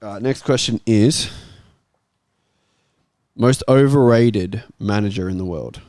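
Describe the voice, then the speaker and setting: A man's voice: lowish voice, takes it slowly, darkish tone, no echo, studio quality. A man hosting a casual football panel and giving his own views in the debate.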